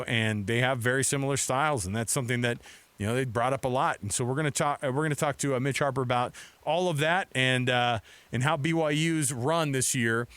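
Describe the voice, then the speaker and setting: A man's voice: medium pitch, fairly bright tone, clean tone, no room sound. A middle-aged American man hosting sports radio in a casual, chatty, rambling way from a studio mic. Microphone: close studio microphone.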